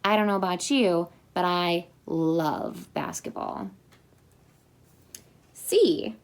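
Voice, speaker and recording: Slow, cheerful woman reading a picture book. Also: medium pitch. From Indiana. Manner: slow, cheerful storytime reading with chatty asides. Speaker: teacher reading aloud.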